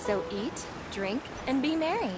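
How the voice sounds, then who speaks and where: High, lilting carefree game character. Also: female voice, lively intonation, unhurried, loud music behind the voice. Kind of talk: scripted video game dialogue.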